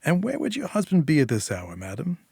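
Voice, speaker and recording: A big rough lug of a man, hurt and wary , voiced by a male storyteller. He speaks in a probing way, lifted in pitch, in a dry short-story recording. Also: wildly swooping pitch, brisk, mellow, no room sound, heavily acted. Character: slovenly, brutish wounded man, wary and quietly probing.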